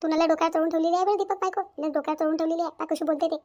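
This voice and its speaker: A woman's voice: extremely high pitch, steady tone, very fast, mellow. A woman playing a bossy, easily irritated older village mother-in-law with a Varhadi accent in a cartoon family drama. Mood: annoyed. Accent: rural Maharashtra, Varhadi. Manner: scolding, repetitive, insistent.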